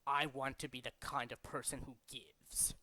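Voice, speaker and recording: A man voicing a grandiose, zealous villain leader in a video game, speaking in a deliberate, pompous, theatrical way. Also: medium pitch, little pitch movement, pushed and bright, no echo. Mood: solemn, brooding. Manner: deliberate, dramatic, pompous declaiming. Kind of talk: theatrical video game character demo reel.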